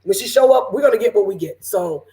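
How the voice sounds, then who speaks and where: A woman from Seattle with a raspy voice, hosting a casual panel chat over a video call with lots of fillers. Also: medium pitch, quick pace. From Seattle, Washington. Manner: relaxed, chatty, lots of fillers. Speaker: panel host.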